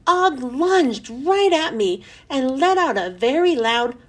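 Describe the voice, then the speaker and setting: A woman narrating a children's storybook in an expressive, animated read-aloud style. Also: high-pitched, slow pace. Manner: animated, storytelling for young listeners.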